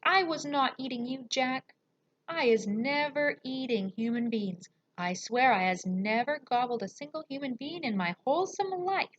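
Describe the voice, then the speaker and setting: A woman's voice: very high voice, little pitch movement, measured pace, a bit bright, muffled recording, pitched above the performer's own voice. A woman voicing a huge, brutish male storybook giant, bellowing and pleading in terror with garbled words. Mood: desperate denial.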